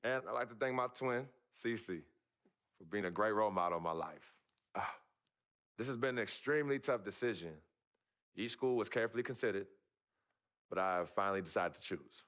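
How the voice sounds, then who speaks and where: An actor playing a confident, upbeat young college basketball prospect in a game cutscene, earnest with showy flourishes. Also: male voice, on the low side, fairly quick, pauses often, a bit bright, close to an everyday voice. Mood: earnest, grateful. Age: young adult. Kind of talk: video game cutscene acting.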